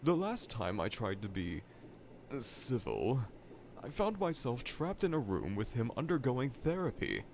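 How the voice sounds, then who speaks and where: A man's voice: mid-range voice, big pitch swings, unhurried, a little mellow, a little hiss or hum. A man voicing a vain, needy middle-aged evil scientist with pompous, formal, melodramatic diction in a fan audio roleplay. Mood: hesitant, pensive. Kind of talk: fan audio roleplay monologue addressed to listener. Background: laboratory ambience.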